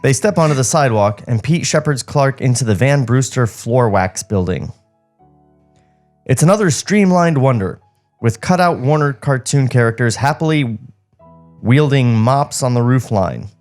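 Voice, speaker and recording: A man's voice: mid-range voice, expressive, normal speed, a little mellow. A man co-hosting a comedy podcast, reading a script aloud and bantering in a casual, mocking way. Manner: mocking, sardonic. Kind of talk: casual comic banter and script table read. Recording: music playing behind the voice. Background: background music.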